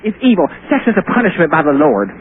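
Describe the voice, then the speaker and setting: A man's voice: on the high side, huge pitch swings, quick pace. A man calling in to a radio show, ranting and arguing in an indignant, self-righteous, preachy manner. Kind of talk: argumentative phone-in ranting. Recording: tinny phone line with hiss.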